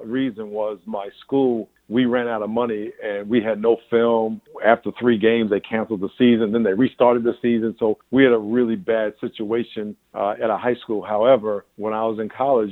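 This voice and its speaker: A man's voice: fairly low pitch, little pitch movement, fairly quick, few pauses, no room sound. Older man pastor on a tinny phone line. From New York. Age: 60s. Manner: candid, rambling with restarts and laughs. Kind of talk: interview answers.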